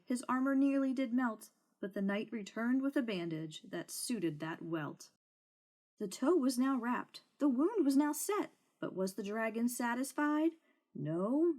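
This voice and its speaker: A woman narrating a children's storybook aloud in an expressive read-aloud style. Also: mid-range voice, a little hiss or hum. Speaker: storybook reader. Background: music.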